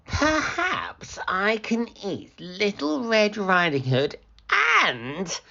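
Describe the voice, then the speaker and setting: A woman voicing a wicked, greedy male wolf in a storybook, savoring words in a falsely soft, coaxing way. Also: medium pitch, sing-song, extremely slow, gravelly, lo-fi sound, pitched above the performer's own voice, exaggerated voice. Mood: sly scheming.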